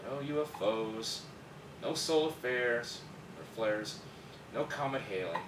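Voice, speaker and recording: A man's voice: medium pitch, lively intonation, slow pace. A man playing a bitter, sarcastic office worker in a screenplay table read, with an exasperated manner. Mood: scornful. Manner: sardonic, exasperated, swearing. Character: bitter, sarcastic male office worker jaded by daily routine. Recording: distant, echoey room with background noise.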